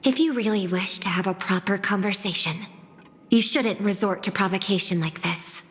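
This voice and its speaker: A woman voicing a composed, kind young singer in a video game, speaking in a measured, formal and earnest way. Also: mid-range voice, natural voice. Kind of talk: video game character dialogue. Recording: long reverb, music behind.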